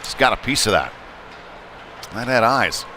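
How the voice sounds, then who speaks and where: Male hockey play-by-play commentator, casual and unpolished, average pitch, live from a noisy rink. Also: measured pace. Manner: casual, unpolished, conversational between plays; excited calls when play heats up. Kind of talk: live sports commentary of a youth ice hockey game. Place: indoor ice rink. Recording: noisy live rink stream, clear background noise. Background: rink ambience and noise.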